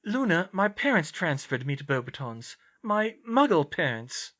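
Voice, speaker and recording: An earnest, brainy teenage girl from a storybook, acted out by a man. The voice sits high and moves about a lot, with a flustered, babbling eagerness. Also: very animated, a little slow, fairly bright tone, exaggerated voice. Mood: friendly, puzzled. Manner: stammering, babbling, indignant bursts.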